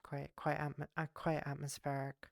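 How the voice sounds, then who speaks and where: Stuffy-voiced man streaming, flat and gravelly. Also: on the high side, moderate pace, no echo, clean studio sound. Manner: rambling, thanks viewers, muses aloud. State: stuffy with a cold. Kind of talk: casual streaming chat while exploring a game world.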